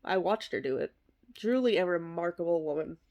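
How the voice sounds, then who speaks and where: A woman voicing a bitter, sardonic middle-aged male horse actor, delivering a comic eulogy monologue in a deadpan, wry way. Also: on the low side, brisk. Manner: deadpan, self-deprecating, wry.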